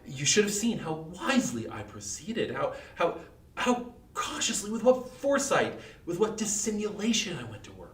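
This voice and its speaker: A man playing a nervous, unhinged murderer who insists he is sane, in a halting, stammering, emphatic dramatic horror reading. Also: high-pitched, huge pitch swings, soft background music, voice pushed up. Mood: insistent, boastful. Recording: echoey room, distant microphone.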